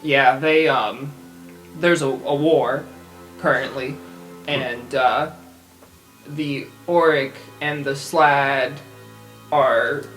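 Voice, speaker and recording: A man's voice: mid-range voice, fairly even intonation, extremely slow, pauses often, fairly bright tone, faint music behind, rough recording. A man talking casually as a player in an improvised tabletop role-playing game.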